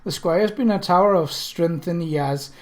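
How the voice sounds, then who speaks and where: A woman's voice: fairly low pitch, moderate pace, lightly acted. A man voicing a humble, effusive English village widow in an audiobook, deferential, chatty and folksy. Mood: admiring, flustered.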